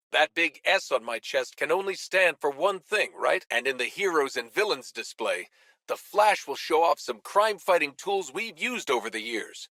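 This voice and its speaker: A commanding superhero from a video game. His voice sits a little high with a bright, forceful edge. He delivers each phrase earnestly and deliberately, in a very dry recording. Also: no room sound, full character voice. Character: powerful male superhero, once kindly, now menacing. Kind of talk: acted video game voice lines.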